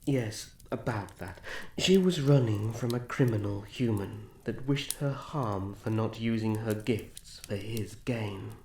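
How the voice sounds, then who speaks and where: A woman's voice: very deep voice, warm tone. A woman voicing a young male elf prince, earnest, proud and protective, with formal, courtly speech in a fantasy audio roleplay. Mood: sheepish. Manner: formal, hesitant pauses, courtly. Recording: roomy sound with faint background noise.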